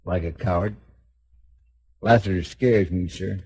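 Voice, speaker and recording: A timid, husky American deputy. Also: male voice, low-pitched, unhurried, darkish tone, heavily acted. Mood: ashamed, fearful. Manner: deferential, hesitant. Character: timid, loyal deputy of a frontier marshal. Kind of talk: 1950s western radio drama acting.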